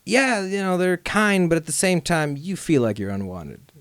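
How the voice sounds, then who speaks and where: A man's voice: sits high, sing-song, normal speed, dry recording, studio quality. A man hosting a podcast in casual chat. He rambles, uses many fillers and laughs easily. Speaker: podcast host.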